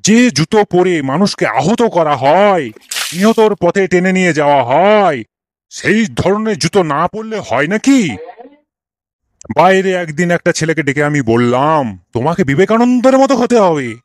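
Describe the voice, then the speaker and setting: A man acts out a cranky old schoolmaster from a comedy cartoon. His voice sits fairly high for a man and is recorded close and dry. He rants and scolds in exasperation, piling up theatrical rhetorical questions. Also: expressive, measured pace, no room sound. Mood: indignant ranting. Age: older. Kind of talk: comic cartoon dialogue.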